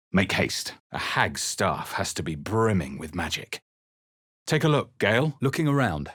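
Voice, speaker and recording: A man playing a grave fantasy game adventurer, with solemn, measured, dramatic delivery as he narrates his journey. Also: deep voice, fairly bright tone, slightly rough, dry recording, studio quality, heavily acted. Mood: firm, wary. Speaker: video game player-character voice.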